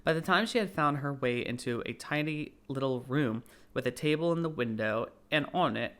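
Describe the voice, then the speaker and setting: A man's voice: mid-range voice, normal speed, a bit bright. A man narrating a children's classic as a scripted audiobook.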